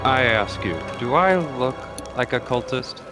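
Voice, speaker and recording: A man's voice: medium pitch, animated, unhurried, natural voice. A man voicing a proud, hard-nosed wealthy merchant in a video game, speaking in a formal, indignant manner. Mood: haughty, indignant. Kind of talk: reading video game dialogue aloud. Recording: loud music under the voice.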